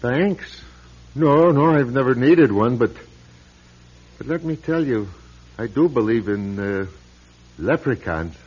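Flustered priest in echoing space. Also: male voice, mid-range voice, slow pace, pauses often. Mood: dazed, sheepish. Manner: excitable, muttering, theatrical. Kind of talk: old-time radio drama acting. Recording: dull, noisy old radio recording, echoing hall.

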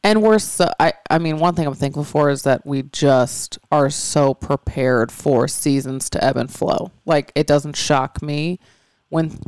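A woman co-hosting a podcast, candid and earnest, a little raspy and stuffy from allergies. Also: sits low, measured pace, a little mellow, dry recording. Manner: candid, earnest, rambling with fillers. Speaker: podcast co-host. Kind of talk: casual chat.

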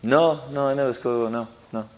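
A middle-aged man from Argentina answering interview questions, halting and repeating himself, with lots of "you know". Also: mid-range voice, a little mellow. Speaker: interviewed footballer.

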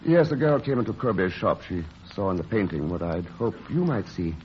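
An actor playing a wistful romantic painter in a classic radio drama, hesitant and bantering with an old love. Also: male voice, on the low side, big pitch swings, brisk, a little hiss or hum. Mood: wistful, hurt. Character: wounded, wry romantic painter visiting his lost love. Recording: muffled old radio broadcast, music underneath.

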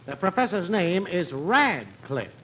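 An actor playing a breezy, smooth-talking schemer in an old-time radio comedy, with a sly, confiding sales manner. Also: male voice, sits high, big pitch swings, measured pace, a bit bright, full character voice. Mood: boastful, persuasive. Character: Dave, breezy smooth-talking schemer with crackpot money plans. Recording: faintly noisy old radio recording.

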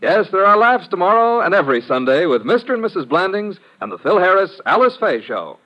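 A male network announcer reading a 1950s radio promo. His voice is fairly high with very animated intonation, heard through dull old broadcast sound. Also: fairly quick, heavy background noise.

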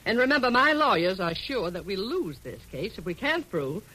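A domineering older American woman boss in a 1940s radio drama. Her delivery is curt and commanding, with a sharp, forceful tone and big swings in pitch. It sounds like an old broadcast. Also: sing-song, normal speed, slightly rough, heavily acted, low-quality recording. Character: bossy, brusque older female publishing tycoon.

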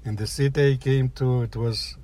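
An older man from the former Soviet Union, speaking English with a Russian accent, telling a personal story in halting, plain phrases. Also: medium pitch, steady tone, warm tone. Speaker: guest storyteller. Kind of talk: personal story told aloud.

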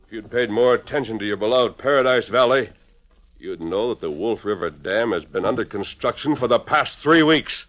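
An actor playing a firm, righteous western hero who speaks in a stern, commanding way in a radio drama. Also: male voice, on the low side, animated, moderate pace, natural voice. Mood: stern, scornful. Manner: stern, commanding, clearly enunciated. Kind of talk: old-time western radio drama acting. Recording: muffled old lo-fi radio recording.

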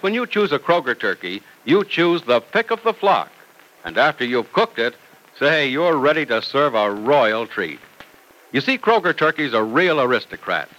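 A man's voice: a little high, bright, forceful tone, low-quality recording. A man announcing in scripted mid-century American radio style, polished and emphatic like a salesman. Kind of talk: 1940s-50s radio announcing, scripted pitch. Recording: vintage radio transcription.

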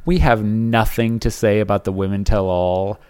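A man's voice: on the low side, fairly even intonation, a little mellow, clean tone. Joking man co-hosting a chat podcast. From Alabama. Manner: joking, quick banter, reads listener reviews aloud. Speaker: podcast co-host. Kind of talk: casual comedic chat.

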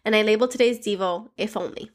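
A woman's voice: medium pitch, fast talker. A woman from Miami, sounding stuffy from a cold, hosting a daily devotional podcast and leading listeners in prayer with an earnest, heartfelt manner. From Miami, Florida.

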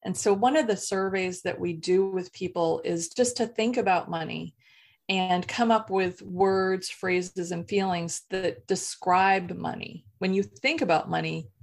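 A woman life and business coach, answering interview questions in a calm, measured, explanatory way. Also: lowish voice.

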